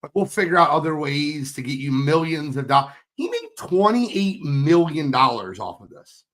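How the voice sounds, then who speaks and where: A man with a hoarse voice co-hosting a sports podcast, talking in a casual, teasing, fired-up way. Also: a little high, big pitch swings, normal speed, fairly bright tone. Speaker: podcast co-host.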